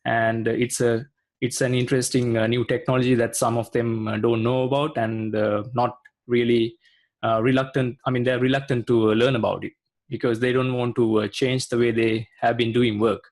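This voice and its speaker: A man's voice: mid-range voice, flat delivery, normal speed, a little mellow. Hesitant man from Sri Lanka. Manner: hesitant, many fillers. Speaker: guest, IT consultant. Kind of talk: casual video interview.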